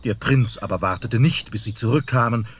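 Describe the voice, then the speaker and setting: A man narrating a fairy tale in an expressive storytelling manner. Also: fairly low pitch, a little fast, slightly rough.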